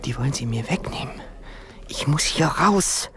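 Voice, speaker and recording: A man's voice: mid-range voice, animated, normal speed, in a whisper, lightly acted. An actor playing a desperate, childlike and unstable confined man of thirty in a radio drama. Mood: desperate, agitated. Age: 30s.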